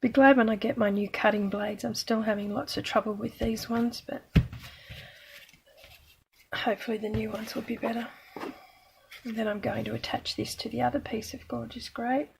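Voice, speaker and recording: Chatty woman with a croaky voice. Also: fairly high pitch, steady tone, moderate pace, long pauses, noisy background. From Australia. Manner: chatty, self-deprecating, talking while working. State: slightly croaky voice. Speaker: craft demonstrator. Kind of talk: casual card-making tutorial.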